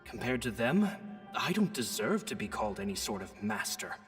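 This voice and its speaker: A gentle male magician narrates his diary for a video game in a mid-range voice. He sounds earnest, self-deprecating and a little theatrical, like someone who worries kindly over others. Music plays behind him. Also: mid-range voice, sing-song, moderate pace, vocal fry. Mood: admiring, humble.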